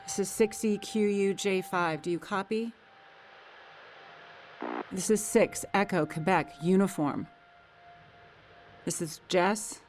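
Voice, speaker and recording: An actress playing an isolated, desperate woman survivor calling over the radio in an audio drama, fragile and halting. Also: mid-range voice, darkish tone, slight background noise, natural voice. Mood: urgent, searching.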